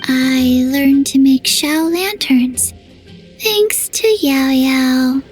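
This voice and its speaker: A small undead girl from a game, high-voiced and extremely slow. She speaks in a halting deadpan, with a childlike tone. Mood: flat, earnest. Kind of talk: video game voice acting. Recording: music behind the voice.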